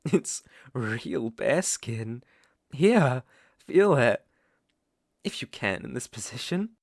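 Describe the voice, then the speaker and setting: Man playing a slow-spoken, seductive British vampire lord. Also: mid-range voice, very animated, creaky, no room sound, studio quality, heavily acted. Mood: proud, mocking. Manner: slow, teasing, ornate condescending speech. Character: seductive, domineering aristocratic male vampire lord. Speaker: ASMR roleplay performer. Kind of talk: ASMR roleplay, intimate monologue.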